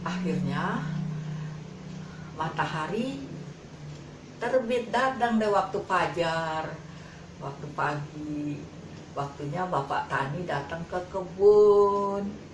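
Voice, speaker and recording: A woman's voice: fairly low pitch, takes it slowly, pauses often. A woman telling a children's fable in an informal, repetitive, colloquial way. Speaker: storyteller. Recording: roomy, distant mic, faint background noise.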